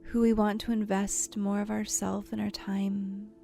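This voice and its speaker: A woman leading a guided imagery meditation in a soft, soothing, gentle voice that turns breathy at times, with unhurried pauses. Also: mid-range voice, little pitch movement. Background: soft background music.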